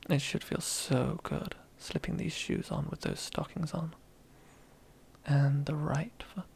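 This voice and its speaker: A woman's voice: deep voice, slow pace, vocal fry, a little mellow, husky, full character voice. A woman playing a domineering, teasing female boss in an ASMR roleplay, half-whispering in a seductive, commanding way. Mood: smug, teasing. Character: domineering, teasing female boss relishing power over old rival.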